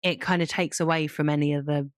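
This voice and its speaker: A woman with a low voice chats casually as a podcast co-host. She is supportive and halting, reworking her sentences as she talks. The recording is dry and free of room sound. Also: steady tone, no room sound.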